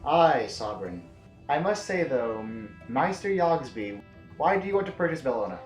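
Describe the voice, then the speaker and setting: A man's voice: mid-range voice, very animated, normal speed, close to an everyday voice. Man as earnest, skeptical general in echoey room. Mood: probing. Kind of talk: science-fiction audio drama acting. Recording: echoey room, music behind.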